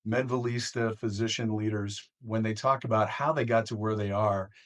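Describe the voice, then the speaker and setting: A man hosting a podcast interview, speaking conversationally in measured phrases and leaning on key words. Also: on the low side, fairly even intonation, a bit bright.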